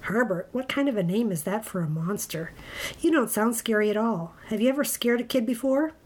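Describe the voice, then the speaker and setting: A plucky storybook kid with a high voice, played by a woman. The child is picky, frank and insistent about what is wanted at bedtime. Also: brisk, close to an everyday voice. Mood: doubtful.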